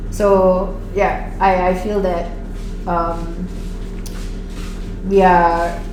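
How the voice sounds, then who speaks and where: A woman's voice: lowish voice, little pitch movement, extremely slow, reverberant, background noise. A woman in her forties, a podcast guest, talking in an earnest, casual way with lots of fillers and restarts. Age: 40s. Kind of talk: casual interview chat.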